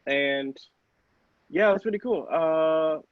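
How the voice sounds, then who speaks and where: Male podcast regular, informal and conversational, higher register, dulled lo-fi audio. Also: slow pace, no echo. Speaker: podcast cast member. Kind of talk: casual chat. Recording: muffled, dull lo-fi podcast audio.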